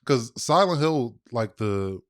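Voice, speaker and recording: A man's voice: medium pitch, expressive, takes it slowly. Man, podcast co-host, casual banter, slangy, profane, mid-range voice.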